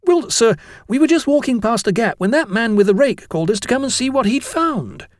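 A man voicing an excitable, innocent eleven-year-old schoolboy with eager, earnest delivery in a storybook. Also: very high-pitched, brisk, no room sound, studio quality, voice pushed up. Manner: breathless eagerness, protesting earnestly.

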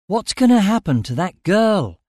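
A man's voice: very high voice, sing-song, slow, darkish tone, no echo, clean studio sound, voice pushed up, heavily acted. A man voicing the impatient, bewildered hero of a story, firing off blunt, urgent questions. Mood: losing patience.

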